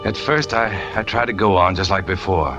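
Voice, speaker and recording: An American actor playing a brooding, lovesick trapeze artist turned killer, terse and hard-boiled, in 1940s radio noir style. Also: male voice, low-pitched, fairly quick, muffled recording, lightly acted. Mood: anguished brooding. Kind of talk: 1940s radio noir acting, confessional narration. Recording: old 1940s radio recording with music.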